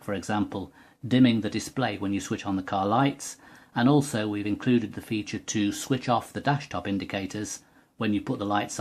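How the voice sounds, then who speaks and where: A man's voice: lowish voice, breathy edge. A man giving a methodical, precise step-by-step technical demonstration as a tutorial presenter. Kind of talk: step-by-step hands-on product demonstration.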